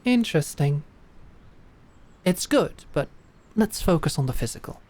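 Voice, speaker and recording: A woman acting in an audio drama as a calm, commanding knight who mentors with measured, deliberate instruction and encouragement. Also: low-pitched. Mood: calm, guiding. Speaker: voice actor.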